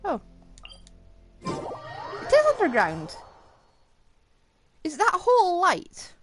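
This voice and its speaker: A woman's voice: very high-pitched, huge pitch swings, slow pace, dry recording. A woman game streamer giving casual live commentary, talking to herself in short reactions. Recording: game music behind the voice. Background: game music.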